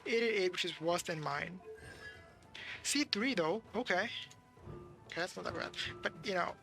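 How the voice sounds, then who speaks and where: A game streamer giving casual livestream commentary in a creaky voice, grumbling, swearing and thinking aloud. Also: mid-range voice, lively intonation, moderate pace, a bit bright, soft background music.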